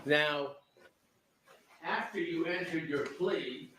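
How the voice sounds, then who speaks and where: A defense attorney cross-examining a witness in court, asking pointed, leading questions. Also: male voice, fairly high pitch, slow, fairly bright tone, slight background noise. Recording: echoey courtroom feed.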